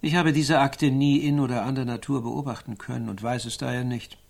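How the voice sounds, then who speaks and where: An actor playing a pedantic medieval knight who chronicles birds, with precise, learned archaic diction, in a German radio play. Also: male voice, medium pitch, steady tone, a little fast, gravelly, a little mellow. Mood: dry, pedantic. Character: pedantic bird-watching medieval knight, fussy chronicler of sparrows.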